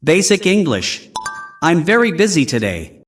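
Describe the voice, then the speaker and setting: A man hosting an English lesson, giving slow, clear, scripted prompts between practice clips. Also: on the high side, very animated, music in the background. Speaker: English teacher host.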